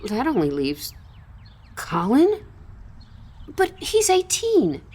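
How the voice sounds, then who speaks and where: A woman's voice: a little high, huge pitch swings, takes it slowly, a little mellow, slight background noise, exaggerated voice. A woman playing a distraught, pleading middle-aged mother in an audio drama, her delivery emotional and faltering. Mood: shocked, fearful.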